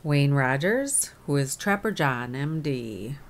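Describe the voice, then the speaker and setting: Low-voiced woman co-hosting a podcast. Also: lively intonation, slow. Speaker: podcast co-host. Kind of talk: casual podcast chat.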